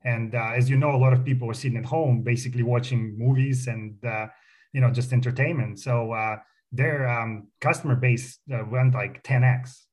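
A middle-aged man with a Russian accent talks in a loose, chatty way, full of ums and restarts, as a guest in a radio studio. His pitch sits in an ordinary middle range. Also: fairly even intonation, normal speed, darkish tone, husky. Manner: chatty, many fillers and restarts, proud. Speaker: company founder guest. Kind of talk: casual radio interview.

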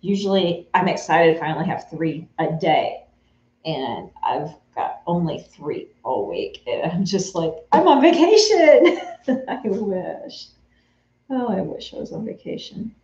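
A chatty woman talking to her viewers while she sews, picked up by a camera a few feet away in a sewing room at home. Her voice sits a little low and sounds roomy and slightly dull. She speaks easily and answers people by name between tasks. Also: big pitch swings, unhurried, darkish tone. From Texas. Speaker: craft vlogger answering live chat. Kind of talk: casual live-stream chat while sewing. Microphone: camera on a tripod, a few feet away.

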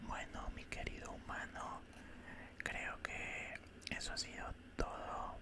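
Guy doing an alien doctor for asmr, whispering real slow, calm and matter-of-fact but a bit menacing, close mic. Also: natural voice. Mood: calm, clinical. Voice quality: soft, breathy unvoiced whisper. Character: calm, politely menacing male alien doctor examining a human. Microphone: close studio microphone.

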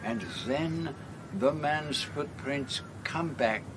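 A fussy, dignified elderly Belgian detective, speaking with a French accent in slow, formal, carefully measured sentences. The voice sits at a middling pitch and stays unhurried. This is a radio play, with faint noise underneath. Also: male voice. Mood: methodical. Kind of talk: radio drama acting and narration.

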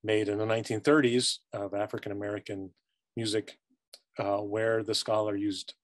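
A middle-aged man with a low voice speaks as an archivist on a panel. His delivery is measured and halting, careful with each phrase. The sound is dry, on a video call. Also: flat delivery, dry recording. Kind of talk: academic discussion on a video call. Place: book-lined office, indoors. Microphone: video call, close to computer.

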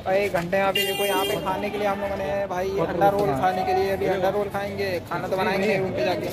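A young man with a high, lively voice talks straight into his handheld phone. He chats casually, teasing his friend with street slang. A thick crowd murmurs all around him. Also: fast talker. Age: young adult. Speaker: vlogger. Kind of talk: casual handheld travel vlog. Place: outdoors in city streets and busy markets. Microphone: phone at arm's length. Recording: phone recording with loud crowd. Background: crowd noise.

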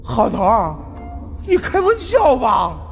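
A man's voice: very high voice, wildly swooping pitch, takes it slowly, gravelly, soft background music, voice pushed up, exaggerated voice. A man voicing a cheeky, nervous fat man who talks colloquially and stammers.

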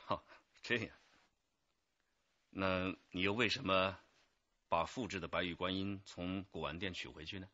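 A man's voice: deep voice, steady tone, unhurried, long pauses, pushed and bright, no room sound, highs cut off, exaggerated voice. An actor playing an authoritative male chief detective who questions probingly in a radio drama. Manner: questioning, commanding. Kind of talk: Chinese radio drama acting.

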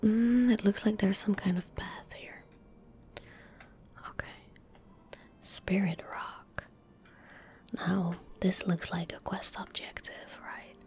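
Whispering young woman, slow ASMR. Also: mid-range voice. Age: young adult. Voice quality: whispery, breathy, unvoiced. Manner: gentle, unhurried, murmured asides to self. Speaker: ASMR gaming streamer. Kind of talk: soft-spoken ASMR game commentary. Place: indoor room at a computer. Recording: faint background noise, game ambience. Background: soft game ambience, faint noise.

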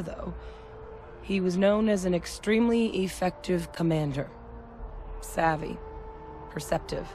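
A woman playing a candid, measured military veteran in a video game, speaking deliberately. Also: fairly low pitch, gravelly, a little mellow, a little hiss or hum. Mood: sober, candid. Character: measured, candid female soldier-scientist, war veteran.

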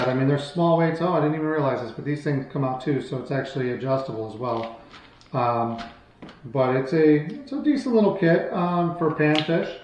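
A man in his thirties talking informally and off the cuff as he shows products as a channel host, in a plainspoken, rambling way. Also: a little high. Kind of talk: casual product showcase, unscripted vlog talk. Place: small hard-walled room at home, indoors. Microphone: camera mic across a table. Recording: very echoey room, faint background noise.

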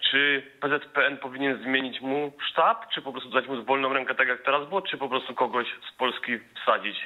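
A man's voice: mid-range voice, steady tone, brisk. A man hosting a football discussion, asking questions and ending remarks with a questioning tag. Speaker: studio host asking questions. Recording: phone-like lo-fi line.

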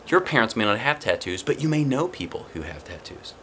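A man's voice: mid-range voice, sing-song, fairly quick, a bit bright. A man in his forties hosting a read-aloud storytime, chatting conversationally and putting questions to young listeners. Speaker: host reading a picture book aloud. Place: small indoor room, seated before a plain wall. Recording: clear background room noise.

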